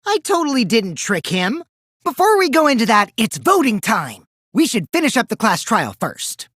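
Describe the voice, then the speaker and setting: A man's voice: extremely high pitch, huge pitch swings, moderate pace, breathy edge, no room sound, studio quality, full character voice. A man voicing a mischievous, childish teenage boy who lies and schemes, in a youthful, teasing, theatrical video game performance. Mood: gleeful, dramatic. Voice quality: boyish, youthful put-on voice. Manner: teasing, theatrical, swinging from singsong to hushed menace. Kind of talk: English video game voice acting.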